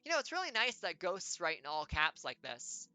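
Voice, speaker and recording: Streamer, female, playful wry reader; low, crisp, bright tone. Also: huge pitch swings. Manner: playful, wry, quick asides. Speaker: game streamer. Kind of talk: reading a text adventure aloud with jokey asides.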